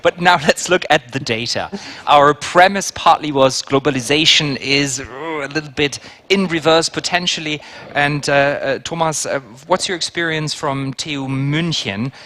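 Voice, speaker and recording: A man's voice: a little high, normal speed, bright, forceful tone. A man moderating a panel discussion in an informal manner, with joking asides.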